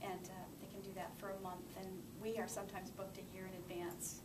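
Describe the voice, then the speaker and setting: A woman's voice: mid-range voice, flat delivery, brisk. A middle-aged woman giving an informal public speech as a library manager, showing listeners around. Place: library community room, indoors. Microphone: far camera microphone. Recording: distant camera, echoey room, clear noise.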